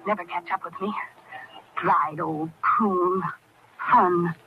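A woman's voice: fairly low pitch, steady tone, slow. An actress playing a spoiled, rebellious young rich girl in old radio drama, with slangy, insolent, petulant delivery. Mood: sullen, scheming. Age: young adult. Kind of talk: 1940s radio acting. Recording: muffled, noisy old-time radio recording.

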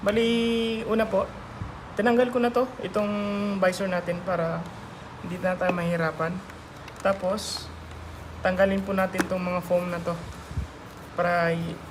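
A Filipino man with a fairly high voice narrates a casual tutorial for his vlog. He speaks informally and haltingly, often searching for his words. Clear background noise runs behind him. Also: flat delivery. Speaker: motovlogger and host giving a product installation guide.

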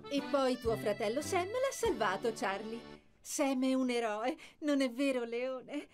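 A woman playing a kindly, gently teasing grown-up in an Italian children's cartoon. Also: high-pitched, expressive, a little slow. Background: loud music.

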